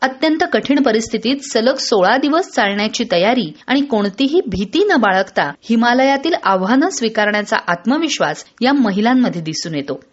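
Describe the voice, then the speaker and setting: A woman newsreader with a fairly high voice delivers a scripted bulletin. Her delivery is formal and measured. The audio is slightly dull and lo-fi. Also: animated. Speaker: radio newsreader. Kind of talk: scripted news narration.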